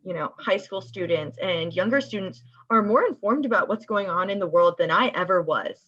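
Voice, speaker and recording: Dull lo-fi recording, young woman, early twenties, medium pitch, earnest, articulate, thoughtful interview guest. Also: lively intonation, moderate pace, fairly bright tone. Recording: slightly dull, lo-fi sound.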